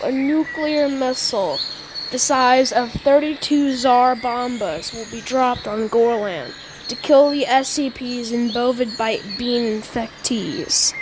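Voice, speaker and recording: A woman improvising a mock emergency broadcast announcement in a halting way, often stumbling and repeating words. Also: on the high side. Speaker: announcer of a fictional emergency alert. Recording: noisy homemade recording, birdsong behind.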